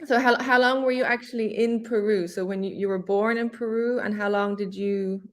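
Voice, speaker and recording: A young woman hosting a casual online session, talking slowly and often restarting her phrases. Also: medium pitch, steady tone. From Ireland. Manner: slow, restarts phrases, switches between German and English. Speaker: host.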